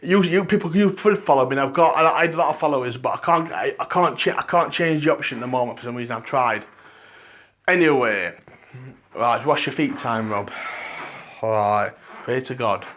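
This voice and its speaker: Liverpool man, podcast host, dry croaky voice, rambles and restarts sentences. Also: medium pitch, fairly quick, a bit bright. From England.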